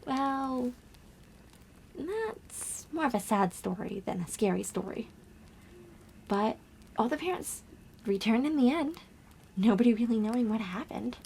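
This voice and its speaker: Woman acting as gentle young camper girl, soft breathy asmr roleplay, slow and hushed, bit of ambient noise behind. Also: fairly high pitch, animated. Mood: soft, teasing. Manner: hushed, slow, dramatic pauses.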